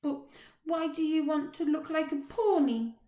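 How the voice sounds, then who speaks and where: A woman voicing a timid but touchy baby barn owl boy who speaks slowly and carefully, in a roomy, echoey room. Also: sits high, dark tone, highs cut off, voice pushed up. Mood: prim. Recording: roomy echo.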